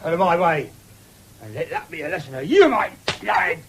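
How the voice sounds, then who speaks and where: An actor playing a blunt, quick-tempered country father in a radio drama. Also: high voice, sing-song, vocal fry, heavily acted. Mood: defiant, threatening. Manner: blunt, challenging, deliberate. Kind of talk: 1970s British radio drama acting. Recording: noisy old radio broadcast recording.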